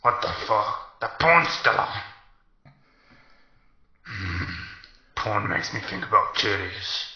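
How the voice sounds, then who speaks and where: A man voicing a crude, dim-witted, lecherous teenage schoolboy in an improvised anime parody dub, slangy and halting. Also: on the low side, animated, pushed and bright, roomy sound, heavily acted. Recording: dull lo-fi audio, music behind.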